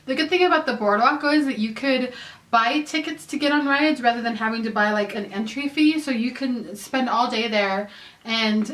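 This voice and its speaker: A woman's voice: a little high, a bit bright. A woman in her late thirties from California, chatting casually through a question-and-answer vlog, rambling with lots of "um" and "like". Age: 30s. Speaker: YouTuber. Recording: roomy echo, mic at a distance.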